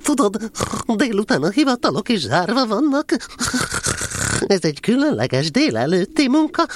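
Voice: medium pitch, sing-song, background noise, lightly acted. An actor voicing a small timid piglet in a children's audio drama, nervous and hesitant, stammering out anxious excuses. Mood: nervous, evasive.

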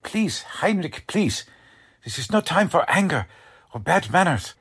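A man's voice: fairly high pitch, very animated, measured pace, fairly bright tone, husky, exaggerated voice. A man playing an anxious, principled middle-aged German-American father in a 1940s-style radio drama, grave and earnest. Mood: worried, pleading. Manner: grave, earnest, formal phrasing. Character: anxious German-American family patriarch, urgent but principled.

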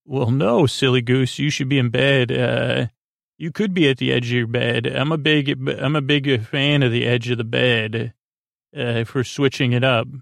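A man hosts a bedtime podcast in a middling-pitched voice full of creak. He meanders, halts and repeats himself in a whimsical, self-deprecating way. The sound is dry, with no room around it. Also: fairly even intonation, normal speed, no echo. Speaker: podcast host. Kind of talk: rambling, unscripted bedtime podcast monologue.

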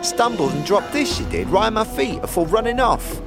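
Jumpy superstitious fantasy peasant, really high voice, all over the place, stammery, old-timey slang. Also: male voice, big pitch swings, a little fast, music in the background. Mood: flustered, awed. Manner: stammering, rustic archaic slang, flustered. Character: nervous, superstitious street commoner of a fantasy city. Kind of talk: audio drama acting.

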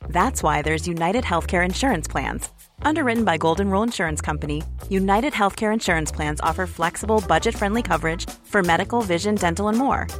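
Slightly low-voiced woman over faint music. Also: fast talker. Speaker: advertisement voice-over. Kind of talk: scripted ad read.

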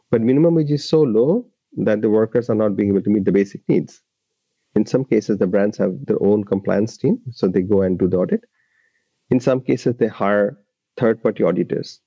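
A man's voice: on the low side, normal speed, mellow, no room sound, lo-fi sound. A man from Bangladesh, speaking as a labour rights advocate and guest, giving earnest, explanatory interview answers with frequent restarts.

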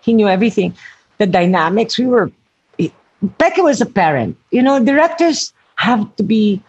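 An older woman speaks with a husky, slightly breathy voice. She talks earnestly and reflectively about her work as an actress, searching for words and correcting herself as she goes. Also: medium pitch, big pitch swings, normal speed, a little mellow, dull audio. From Philippines. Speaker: actress, interview guest. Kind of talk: reflective interview talk.

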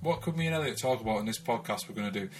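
A man from Oldham in Manchester, co-hosting a relaxed, friendly podcast chat. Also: fairly low pitch, very fast. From Oldham, Manchester, England. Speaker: podcast co-host. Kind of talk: casual chat. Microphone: video call.

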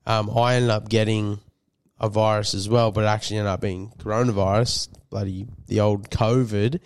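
A man's voice: on the low side, flat delivery, moderate pace, a little hiss or hum. A man co-hosting a podcast, a bit nasal from a cold, rambling with lots of fillers and swearing. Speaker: podcast co-host. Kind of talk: casual chat.

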